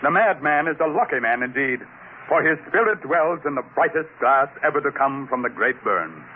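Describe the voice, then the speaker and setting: An actor playing the solemn chief of an alien potter tribe, speaking in formal, stately phrases in a 1950s radio drama. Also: male voice, medium pitch, expressive, normal speed, close to an everyday voice. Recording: scratchy tinny old radio broadcast.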